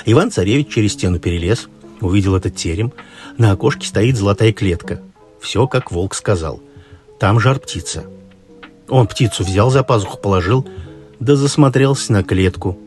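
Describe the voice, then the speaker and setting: A man narrating a fairy tale expressively, in an unhurried, folksy storytelling manner. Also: fairly low pitch, animated, a little mellow. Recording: music behind the voice. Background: background music.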